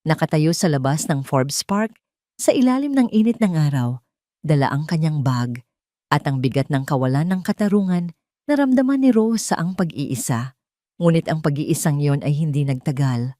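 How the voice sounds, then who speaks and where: A woman's voice: low voice, sing-song, moderate pace, dark tone, dry recording, studio quality. A woman reading a scripted dramatic story in an emotive storytelling manner. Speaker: story narrator.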